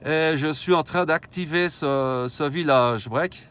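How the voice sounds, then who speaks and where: Man, smooth clear voice, slightly high pitch, radio operator talk, mobile station. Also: slow pace, fairly bright tone. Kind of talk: radio contact chat with call signs and procedure words.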